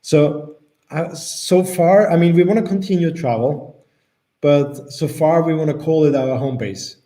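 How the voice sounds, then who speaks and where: Relaxed Austrian man, mellow voice. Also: on the high side, measured pace. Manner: relaxed, hesitant, restarts sentences. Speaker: co-host of a travel Q&A. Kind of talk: casual live chat.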